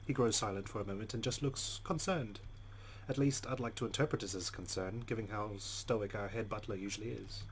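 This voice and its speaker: A man playing a game, reading its text aloud dramatically and reacting in a jumpy, expressive way. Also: fairly low pitch, a little fast, a little hiss or hum. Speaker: let's player. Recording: loud game sound behind voice. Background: game audio.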